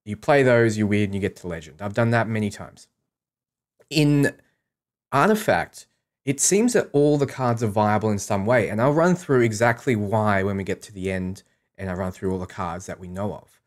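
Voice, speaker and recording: A man's voice: lowish voice, normal speed. A man with an Australian accent hosting a livestream, chatting casually and thinking aloud in an informal way. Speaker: live streamer and host.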